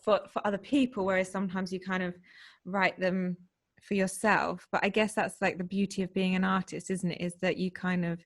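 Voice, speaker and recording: A woman's voice: fairly low pitch, flat delivery, fairly quick, no room sound, studio quality. A woman chatting casually as a guest in a group interview, agreeable and tentative, with plenty of "like" and "kind of". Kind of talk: casual chat.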